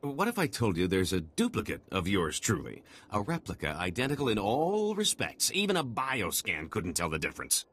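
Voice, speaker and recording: A man playing a slippery, boastful middle-aged fugitive con artist in a video game, talking in theatrical, wheedling salesman patter with a husky voice. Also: lowish voice, huge pitch swings, moderate pace, few pauses, fairly bright tone, heavily acted. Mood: pleading, persuasive.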